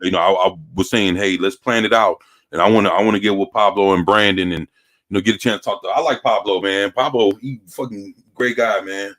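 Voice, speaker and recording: An American man talking casually in streetwise, slangy speech with swearing and halting, repeated phrases. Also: on the low side, fairly even intonation, a bit bright, low-quality recording. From United States. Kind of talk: casual chat.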